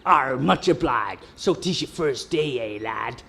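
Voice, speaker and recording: Creaky, hearty old captain. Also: male voice, mid-range voice, lively intonation, measured pace, pushed and bright, slight background noise, heavily acted. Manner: hearty, drawling pirate talk. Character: gruff, cheery one-eyed old pirate sea captain. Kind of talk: comic radio sketch acting.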